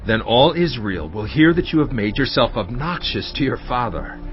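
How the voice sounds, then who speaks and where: An actor playing a cold, scheming royal adviser urging ruthless action in a dramatized audio Bible. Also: male voice, medium pitch, expressive, moderate pace, natural voice. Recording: dull audio over music bed.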